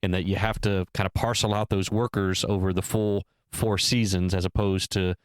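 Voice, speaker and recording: A man's voice: sits low, flat delivery, moderate pace, clear, resonant tone, no room sound, clean studio sound. A man co-hosting a podcast, weighing both sides aloud with many restarts and hesitations. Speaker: podcast co-host. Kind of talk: casual discussion.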